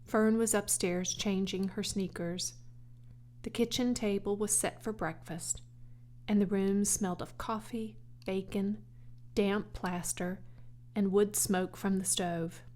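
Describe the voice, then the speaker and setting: A woman's voice: medium pitch, steady tone, slow pace, a little mellow. A woman reading a children's storybook aloud as a scripted audiobook narration. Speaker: audiobook reader.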